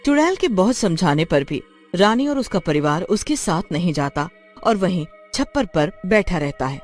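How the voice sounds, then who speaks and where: A story narrator reads with lively, swinging intonation. The delivery is scripted and dramatic, at a medium pitch. Faint music plays behind it. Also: normal speed.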